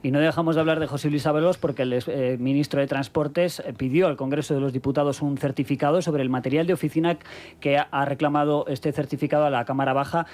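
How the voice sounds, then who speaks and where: A man's voice: on the high side, steady tone, fast talker, darkish tone. A man from Spain reading news items and introducing clips on a radio news show.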